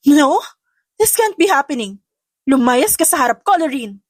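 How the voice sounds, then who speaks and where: Woman acting a shrill, haughty female rival, pitched up. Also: big pitch swings, moderate pace, no echo. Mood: shocked, outraged. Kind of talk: melodramatic audio drama acting.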